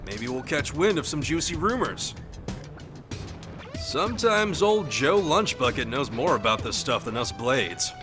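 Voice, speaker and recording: An actor with an American accent playing a cocky, slangy, grumbling soldier in a video game cutscene. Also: male voice, mid-range voice, big pitch swings, unhurried, music in the background. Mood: eager, scheming. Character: cocky, gruff young male soldier, slangy thrill-seeker.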